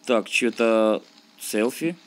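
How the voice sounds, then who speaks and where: A man's voice: fairly low pitch, slow pace. A man giving casual, slangy let's-play commentary, thinking aloud and grumbling as he plays. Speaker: gaming video host.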